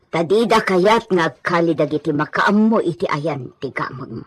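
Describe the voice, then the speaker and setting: A woman playing a money-hungry, resentful village gossip in an Ilocano audio drama, with a husky voice. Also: on the low side, lively intonation, moderate pace.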